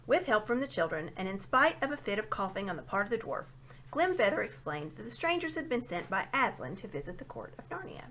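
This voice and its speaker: A woman reading a storybook aloud as an expressive narrator. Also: mid-range voice, a little fast, a little hiss or hum, poor audio. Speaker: storybook reader.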